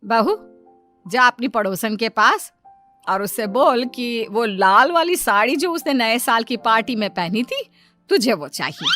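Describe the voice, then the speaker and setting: A woman voicing a bossy older village mother-in-law in a cartoon, sharp-tongued and nagging. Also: on the high side, expressive, a little slow, soft background music. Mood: bossy, impatient.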